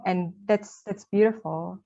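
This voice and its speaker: A woman's voice: medium pitch, a little slow, creaky, dark tone. Woman guest, program coordinator, encouraging tone, muffled lo-fi sound. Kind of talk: interview answers. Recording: dull lo-fi audio.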